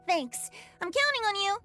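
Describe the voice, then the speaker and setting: A woman's voice: very high-pitched, pushed and bright, full character voice. A woman voicing a breezy, whimsical young male bard in a video game, coy and poetic in manner.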